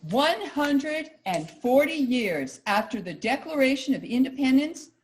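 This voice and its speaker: A woman performing theatrical scripted narration as part of a reader's theater, with a dramatic, deliberate delivery. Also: sits high, big pitch swings.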